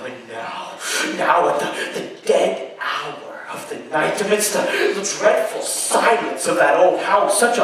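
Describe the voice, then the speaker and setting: A man playing a nervous, unhinged murderer in a theatrical gothic horror reading, halting and repeating himself between sudden outbursts. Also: high-pitched, fairly bright tone, heavily acted. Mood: hushed terror. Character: unhinged nervous murderer narrating his gothic crime, gleeful and frantic. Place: live show at an amusement park. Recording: echoey hall from far off, some noise.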